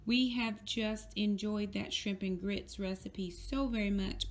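Chatty woman, phone in kitchen. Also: medium pitch. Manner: chatty, relaxed, with fillers and self-corrections. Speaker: vlogger. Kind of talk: casual grocery haul narration. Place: home kitchen.

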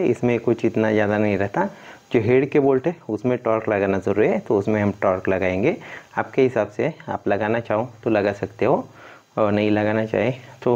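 Mellow man explaining hands-on work. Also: fairly low pitch, vocal fry. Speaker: car mechanic presenting a tutorial. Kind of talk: hands-on instructional commentary. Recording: dull lo-fi sound.

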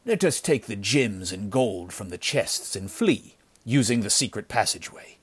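A man's voice: on the low side, lively intonation, moderate pace, slightly rough. A man voicing a ruthless, commanding bandit chief who snarls impatiently in an adventure story. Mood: grim, scheming. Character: ruthless, cursing bandit chief nicknamed the wolf. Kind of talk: dramatic storybook villain acting.

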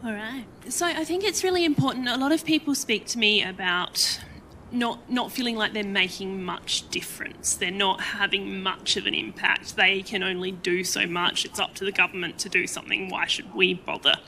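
A woman's voice: mid-range voice, moderate pace, pushed and bright, a little hiss or hum. An Australian woman on a public panel, earnestly encouraging small everyday actions, often repeating her words. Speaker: panel guest. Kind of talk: panel discussion answer.

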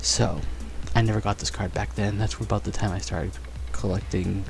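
A young man chatting casually and excitedly while opening trading cards in a bedroom, on a headset mic. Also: lowish voice, moderate pace, gravelly, faint music behind. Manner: upbeat, chatty, excited exclamations. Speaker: card collecting vlogger. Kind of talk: casual unboxing chat. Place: bedroom studio, indoors.

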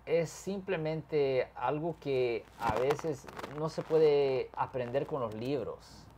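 Talkative California-born lawyer explaining law. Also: male voice, medium pitch, slow, background noise. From California, USA. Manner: explains at length, mixes English words into Spanish. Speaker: criminal defense lawyer. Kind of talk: conversational legal explanation.

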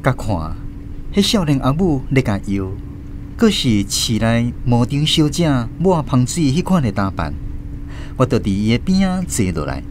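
A man with a Taiwanese accent giving expressive scripted narration as the host of a radio drama. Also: medium pitch, moderate pace, background noise.

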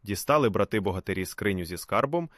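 Very animated male fairy tale storyteller. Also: fairly low pitch, fast talker, dry recording, clean studio sound. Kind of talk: expressive scripted fairy tale narration.

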